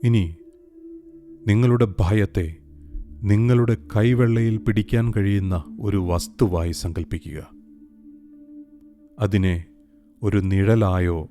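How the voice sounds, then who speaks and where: A man narrating a scripted guided meditation in a calm, soothing, unhurried way with long pauses. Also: on the low side. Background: soft meditation music with singing bowls.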